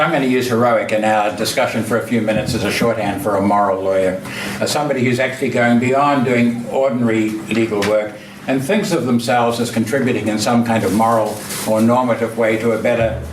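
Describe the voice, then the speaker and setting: Man lecturing in a roomy hall. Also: mid-range voice. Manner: measured, frequent uh fillers and restarts. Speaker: guest speaker, sociologist. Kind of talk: academic lecture. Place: lecture room. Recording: roomy, distant, noisy hall.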